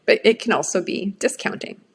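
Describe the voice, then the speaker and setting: A woman's voice: medium pitch, a little fast, creaky, husky. A middle-aged woman whose first language is Swedish, giving an earnest, reflective workshop talk on change management.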